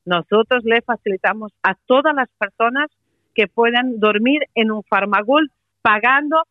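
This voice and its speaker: A woman with a Portuguese accent, a company representative making a persuasive sales pitch by phone on the radio, hesitating often with "eh". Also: normal speed, no room sound. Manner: persuasive, many hesitations and "eh" fillers. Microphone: telephone. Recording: tinny phone line, dry.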